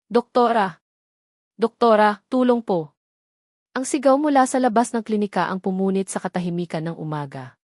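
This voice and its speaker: A female storyteller narrates a scripted romance with dramatic phrasing. Her voice sits at a medium pitch, and the recording is dry and close. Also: a little mellow, no room sound.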